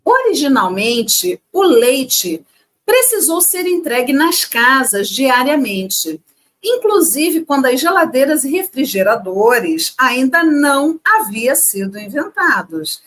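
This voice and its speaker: A woman from Rio de Janeiro co-hosting a casual chat, lively and chatty as she reads facts from her notes. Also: high voice, slow. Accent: Rio de Janeiro Portuguese. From Brazil. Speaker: co-host.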